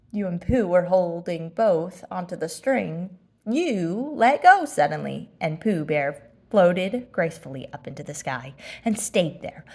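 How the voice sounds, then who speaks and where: A woman narrating a children's story aloud, expressive and deliberate in her phrasing. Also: on the low side.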